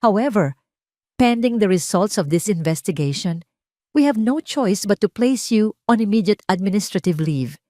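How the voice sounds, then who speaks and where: Woman doing a stern exec guy in an audio drama, formal and measured, close dry sound. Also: mid-range voice, lively intonation, mellow, dry recording, natural voice. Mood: stern, official. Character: formal, stern male executive Mister Henderson.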